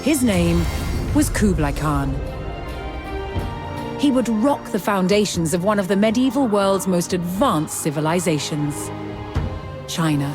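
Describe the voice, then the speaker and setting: A woman delivering scripted historical documentary narration in a measured, dramatic storytelling manner with deliberate pauses. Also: on the low side, lively intonation. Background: background music.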